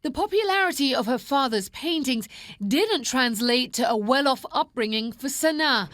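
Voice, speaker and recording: A woman's voice: high voice, lively intonation, normal speed, a bit bright, no echo. A woman reporter reading scripted narration for a news profile.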